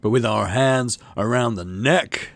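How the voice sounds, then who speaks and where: A man voicing a bombastic, militaristic governor from a video game, declaiming pompously to a crowd with slow, heavy emphasis. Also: mid-range voice, vocal fry, no room sound. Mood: grandiose, menacing. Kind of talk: acted dialogue read from a game.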